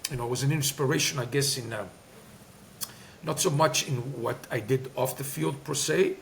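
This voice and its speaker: A man's voice: mid-range voice, normal speed, gravelly, slight background noise. A middle-aged man with a Greek accent, talking candidly and emphatically in an interview, with many fillers and restarts. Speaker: guest, former player and coach.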